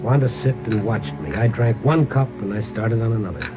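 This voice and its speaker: A man's voice: mid-range voice, brisk, over music, natural voice. An actor playing a wry, slangy, impatient investigator who narrates his own case in a 1950s radio crime drama. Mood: wry, weary. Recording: muffled, noisy vintage radio recording.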